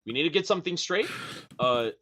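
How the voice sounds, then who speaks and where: A man with a high-pitched, slightly feminine voice, ranting hard on a casual podcast, emphatic and profane. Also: expressive, a little fast, a bit bright, slight background noise. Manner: emphatic, profane, preachy rant with sudden high-pitched outbursts. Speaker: podcast guest.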